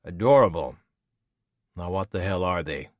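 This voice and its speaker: A man voicing a blunt, weary male killer with dry, deadpan humor. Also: deep voice, moderate pace, dry recording.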